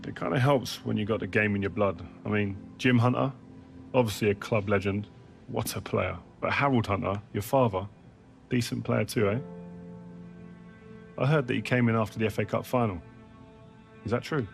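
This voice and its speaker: A man's voice: lowish voice, steady tone, moderate pace, vocal fry, long pauses, faint music behind. A man playing an easygoing ex-footballer who asks probing questions as an interviewer in a game cutscene. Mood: probing, casual.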